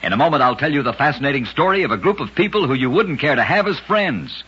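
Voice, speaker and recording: A man with a husky voice giving dramatic scripted narration for an old radio show. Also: fairly high pitch, animated, quick pace, a bit bright. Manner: dramatic storytelling delivery. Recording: dull lo-fi old radio recording.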